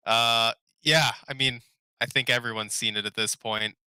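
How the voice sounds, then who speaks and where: Bright, crisp-voiced young man chatting casually. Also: medium pitch, steady tone, moderate pace, dry recording. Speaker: podcast co-host. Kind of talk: casual chat about favorite movies.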